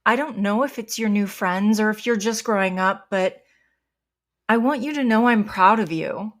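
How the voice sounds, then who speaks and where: A woman's voice: mid-range voice, moderate pace, close to an everyday voice. A woman playing a protective, loving but stern mother in a naturalistic audio drama. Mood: tender.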